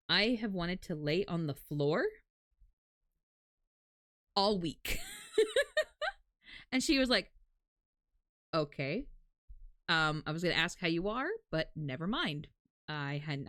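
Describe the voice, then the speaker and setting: A woman's voice: on the low side, a little slow, long pauses, dry recording, studio quality. A woman chatting casually as a livestreamer, candid and freely swearing, telling things in a dramatic, self-deprecating way. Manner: animated, dramatic storytelling.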